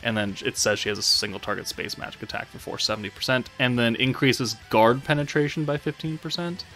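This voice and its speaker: A man's voice: medium pitch, fairly quick, sharp tone, soft background music. A man giving casual, informal game commentary as a channel host, rambling and thinking aloud as he goes.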